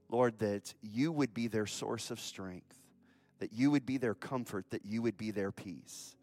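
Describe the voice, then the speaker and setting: A pastor leading his congregation in an earnest, solemn prayer and announcement during a church service. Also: fairly low pitch.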